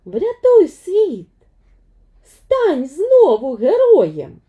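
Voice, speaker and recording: A brave teenage island girl, as a woman storyteller plays her. The voice sits very high and swoops with earnest resolve. She declaims in a slow, drawn-out fairy-tale manner. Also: huge pitch swings, phone-quality sound, full character voice. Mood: urgent, pleading.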